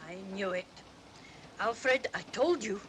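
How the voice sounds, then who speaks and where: A woman's voice: expressive, slow, fairly bright tone. A woman acting a fretful, reproachful wife, resigned to disgrace, in a vintage mystery film. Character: fretful, resigned nagging wife of petty thief. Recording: vintage film soundtrack, faint rumble.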